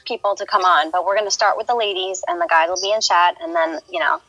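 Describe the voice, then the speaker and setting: A woman's voice: lowish voice, fairly even intonation, quick pace, pushed and bright, low-quality recording. A woman chatting casually on a game live stream, hesitant and full of ums and small laughs. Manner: hesitant, many ums and restarts, laughs often. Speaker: streamer and clan recruiter.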